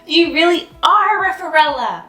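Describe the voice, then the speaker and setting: A woman's voice: extremely high pitch, animated, normal speed, fairly bright tone, voice pushed up. A woman voicing a confident, bossy little girl in a storybook reading, speaking emphatically. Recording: echoey room with faint music.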